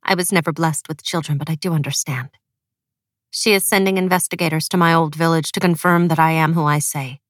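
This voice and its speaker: A woman's voice: low-pitched, fairly even intonation, a little fast, a bit bright, dry recording, clean studio sound. Woman playing a meek, pleading young widow. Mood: sorrowful. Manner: earnest, pleading, careful. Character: cunning young widow feigning meek, weary devotion.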